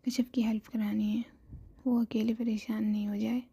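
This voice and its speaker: Playful young woman friend, whispered, gravelly, dry, as a woman narrator puts her on. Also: on the high side, level tone, normal speed, mellow, dry recording, heavily acted. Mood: teasing. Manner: whispered, teasing.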